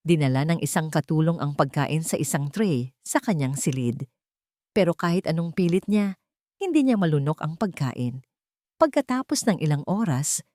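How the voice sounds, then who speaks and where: Low-voiced woman narrating a romantic drama. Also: a little mellow, no room sound, studio quality. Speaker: story narrator.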